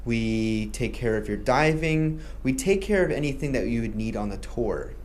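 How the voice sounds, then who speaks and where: A man's voice: medium pitch, animated, normal speed, darkish tone. A man who grew up in Malaysia, hosting a promo and explaining a dive tour in a friendly way, with a few restarts. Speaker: tour company host.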